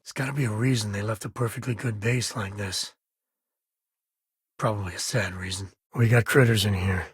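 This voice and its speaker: Husky, wry American space cowboy. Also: male voice, lowish voice, fairly even intonation, moderate pace, no echo, clean studio sound. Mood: wry, casual. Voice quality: husky, grainy. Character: easygoing wry space cowboy, devoted father, adult man. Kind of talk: video game character dialogue.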